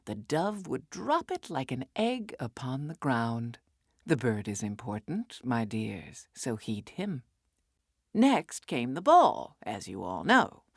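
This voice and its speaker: A woman's voice: low voice, no room sound, clean studio sound. Sardonic, theatrical woman reading poetry. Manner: sardonic, wry, theatrical with dips to whispers. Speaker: reader of a poem.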